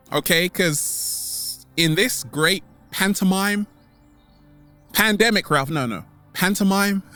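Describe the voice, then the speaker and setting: A man's voice: sits high, bright, forceful tone. A man speaking as a vlog host in a playful, theatrical motivational monologue, drawing words out for emphasis. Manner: theatrical, playful, repeating catchphrases, with drawn-out emphasis. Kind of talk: motivational monologue to online followers.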